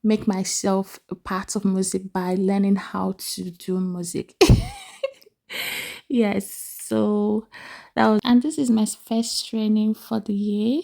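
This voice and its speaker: A young woman with a Nigerian accent chats to her camera at home in a soft, slow way. Her voice has a gravelly edge, and she laughs often between sentences. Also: medium pitch, steady tone. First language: Igbo. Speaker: vlogger. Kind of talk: casual vlog narration.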